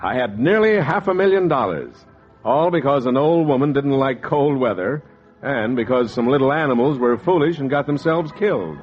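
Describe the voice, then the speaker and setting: A cynical, greedy schemer played by a male actor in a 1940s noir radio play. His voice is middling in pitch and very animated, with wry, dramatic phrasing. The old recording sounds dull. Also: moderate pace, faint music behind, close to an everyday voice. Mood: smug.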